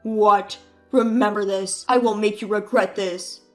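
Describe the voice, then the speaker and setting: A woman voicing a rude, mocking adult brother who talks loudly and scornfully, with faint music behind. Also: mid-range voice, fairly even intonation, measured pace, natural voice. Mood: angry. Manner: sneering, taunting.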